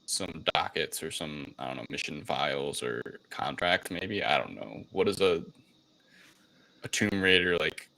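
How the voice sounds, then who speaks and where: A man with a low-pitched voice that still has a bright edge. He co-hosts a casual film discussion and rambles through it, unsure of his phrasing as he goes. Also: lively intonation, a little slow, vocal fry, rough recording. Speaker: podcast co-host recapping a film.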